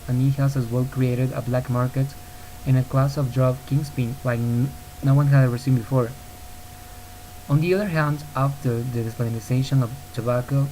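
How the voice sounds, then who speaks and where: Earnest young man from Ecuador, mellow. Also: mid-range voice, poor audio. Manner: earnest, steady reading with occasional slips and restarts. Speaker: podcaster. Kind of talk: read-aloud argumentative podcast. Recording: faint hiss on a home recording.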